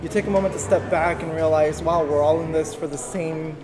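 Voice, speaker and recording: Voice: medium pitch, steady tone, a little fast. Reflective performer over crowd noise. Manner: reflective, heartfelt.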